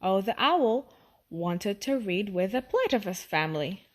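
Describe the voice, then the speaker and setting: A woman reading a children's storybook aloud, expressive and addressing the young listener directly. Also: mid-range voice, unhurried. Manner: expressive, asks the listener questions.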